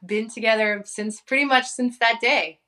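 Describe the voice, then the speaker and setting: A woman's voice: on the high side, steady tone, moderate pace, sharp tone. A woman in her forties from Hawaii, talking as an interview guest in a chatty, casual way with many fillers and frequent laughter. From Hawaii, United States. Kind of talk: casual conversation.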